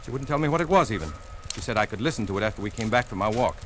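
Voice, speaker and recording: Jumpy, evasive husband in old radio drama. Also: male voice, on the low side, expressive, very fast, a bit bright. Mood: shaken, evasive. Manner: stammering, jumpy, defensive. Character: nervous, evasive husband of a missing woman. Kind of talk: 1940s radio suspense acting. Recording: vintage radio recording with background noise.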